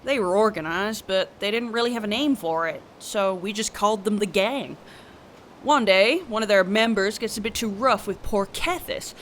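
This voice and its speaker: A woman's voice: medium pitch, normal speed, slight background noise, natural voice. A flirtatious bodyguard, voiced by a woman, chats in a breezy, teasing manner, with a voice that sits in the middle range. She tells her stories with casual swagger in an audio roleplay. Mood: storytelling. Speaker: side character in an audio roleplay.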